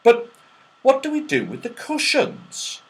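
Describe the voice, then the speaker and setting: Excitable storybook little girl, read by a man. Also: extremely high pitch, a little slow, voice pushed up, full character voice. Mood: delighted, wondering.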